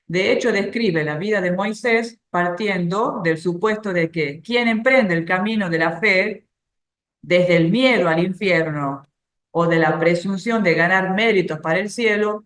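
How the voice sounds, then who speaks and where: A woman giving an informal faith talk, explaining ideas through everyday examples and soft laughs. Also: on the low side, echoey room.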